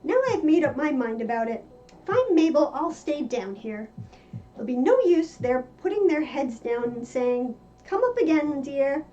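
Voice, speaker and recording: A woman's voice: high-pitched, big pitch swings, moderate pace, mellow. A woman voicing an anxious, bewildered little storybook girl in a dramatic, exclaiming manner. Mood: stubborn. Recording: muffled lo-fi with music behind.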